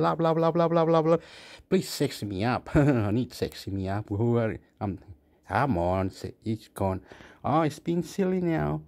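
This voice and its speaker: A man's voice: mid-range voice, a little mellow. A man with an Australian accent gives a rambling commentary over a phone screen recording, repeating himself and restarting his words. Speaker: vlogger.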